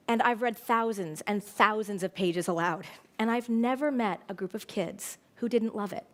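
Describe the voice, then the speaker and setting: A middle-aged woman speaking as an educator in a prepared talk, telling stories in an expressive, emphatic way. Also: mid-range voice. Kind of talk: prepared public talk with storytelling.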